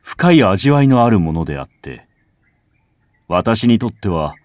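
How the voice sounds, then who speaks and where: A man reciting a literary first-person text as a scripted narration, in a measured, contemplative way with dramatic phrasing. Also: lowish voice, sing-song, no room sound, studio quality. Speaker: audiobook reader. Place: home recording.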